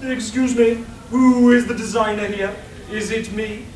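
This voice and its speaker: A woman's voice: on the high side, fairly even intonation, unhurried, darkish tone, close to an everyday voice. Pompous clockwork tailor, acted by a woman, echoey. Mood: pompous, wounded. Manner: pompous, melodramatic, self-pitying. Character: vain, exasperated clockwork machine tailor, theatrically wounded artist. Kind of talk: live radio play acting. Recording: echoey hall, distant mic, faint noise.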